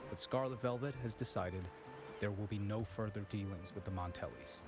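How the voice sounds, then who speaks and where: An actor voicing a guarded bartender informant in a video game, wry and deliberate with quiet menace and formal wording. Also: male voice, on the low side, close to an everyday voice. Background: game music.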